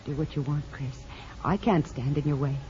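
A woman's voice: low-pitched, normal speed, dark tone, lightly acted. An American woman playing a sympathetic, candid married aunt, speaking expressively and confidingly in 1950s radio drama style. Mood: sympathetic, rueful. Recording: muffled old radio broadcast.

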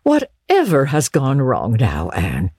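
A woman's voice: rumbling low, huge pitch swings, slow, darkish tone, slightly rough, dry recording, studio quality, exaggerated voice. A woman voicing a strict, no-nonsense older woman who speaks in a stern, clipped way.

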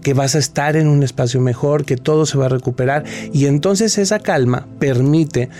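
A man's voice: medium pitch, normal speed, mellow, soft background music. A man, a guest expert answering interview questions in an explanatory way, often restarting his sentences.

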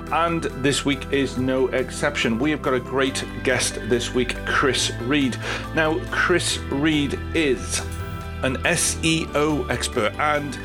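A man hosting a business podcast as a performance coach, reading a polished intro and then chatting with his guest in an upbeat way. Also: medium pitch. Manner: upbeat, polished greeting, warm encouragement. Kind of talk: scripted intro then casual interview.

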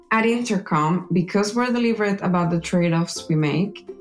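A woman reading scripted narration in a measured, explanatory way, as an engineering manager presenting. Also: fairly low pitch, a little mellow. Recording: music behind the voice. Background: music.

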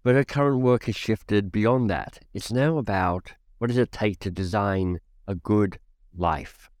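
A middle-aged man from Australia, reading a scripted podcast introduction in a reflective, measured way. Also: fairly low pitch, a little mellow, dry recording, studio quality. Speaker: podcast host.

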